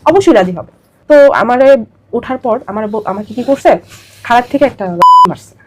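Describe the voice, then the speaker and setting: A woman's voice: on the high side, expressive, moderate pace, very noisy. A young woman with a Bangladeshi accent telling her personal story as an interview guest, emphatic and repetitive as she pours out her account.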